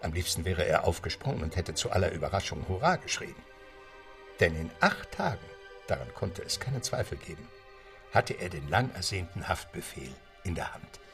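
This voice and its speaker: Deep, creaky male narrator with music. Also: very animated, moderate pace, a bit bright. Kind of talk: scripted radio play narration.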